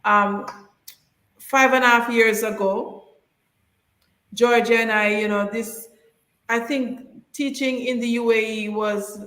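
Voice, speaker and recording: A woman hosts a live stream and gives brisk, emphatic advice to viewers. Her voice sits slightly high. The recording has a roomy echo. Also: fairly even intonation, a bit bright.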